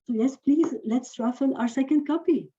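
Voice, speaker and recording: A woman's voice: high-pitched, moderate pace, muffled recording, background noise. A woman co-hosting an online event, announcing a raffle in a friendly way with a few fillers. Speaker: co-host running a raffle. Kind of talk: event hosting, partly scripted.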